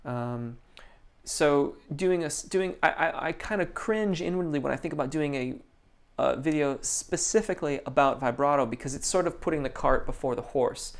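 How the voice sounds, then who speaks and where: Gravelly-voiced male vocal coach, thoughtful. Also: on the high side, moderate pace. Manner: thoughtful, restarts sentences, many ums; reads viewer comments aloud. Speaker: vocal coach answering live chat questions. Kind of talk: live Q&A stream.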